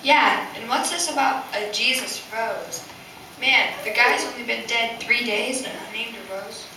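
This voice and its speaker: A woman's voice: on the high side, unhurried. A woman playing a goofy, joking male disciple in an amateur puppet show. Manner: goofy, dim wisecracking. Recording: very echoey, distant mic, clicks and noise.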